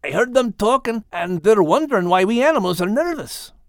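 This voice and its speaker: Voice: medium pitch, big pitch swings, brisk, dry recording, studio quality. An actor voicing a plucky little male Scottish dog in a children's audio drama, with a folksy, spirited manner. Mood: concerned, earnest. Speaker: co-host and character in an animal story podcast.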